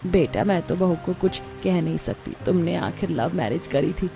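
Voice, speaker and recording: A woman's voice: lowish voice, expressive, moderate pace, gravelly, dark tone, soft background music. Woman playing a mild, patient old mother-in-law. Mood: forbearing. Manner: mild, forbearing. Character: patient, gentle old mother-in-law, poor vegetable seller.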